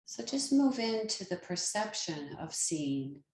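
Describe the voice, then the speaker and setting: A woman leading a guided meditation as a teacher, speaking in a calm, gentle manner with long pauses, as if easing listeners into rest. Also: mid-range voice, echoey room, poor audio.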